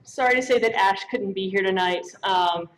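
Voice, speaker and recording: A woman's voice: medium pitch, normal speed. A woman giving an informal speech on stage at a live event. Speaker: award presenter. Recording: noisy live event microphone with clicks.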